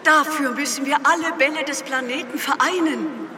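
High-voiced, stately female president. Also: moderate pace, bright, forceful tone. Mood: rousing. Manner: stately, emphatic, pathos-laden oratory. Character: solemn female president of an alien planet, rallying her citizens. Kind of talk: comedic sci-fi audio drama acting. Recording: long echoing reverb, clearly noisy ambience behind.

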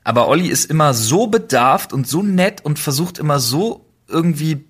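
A man's voice: fairly high pitch, expressive, normal speed, a bit bright, slightly rough. A young man hosting a casual podcast chat, playful and rambling, laughing often as he talks. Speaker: podcast host.

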